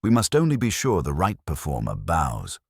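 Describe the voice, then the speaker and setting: Courteous radio-mystery inspector, performed by a man: extremely deep, mild and patient, gently ironic and pointed. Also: wildly swooping pitch, normal speed, creaky, breathy edge, dry recording, studio quality, exaggerated voice. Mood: quietly measured. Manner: mild, pleasant, quietly pointed. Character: calm, mildly ironic male police inspector, courteous and patient.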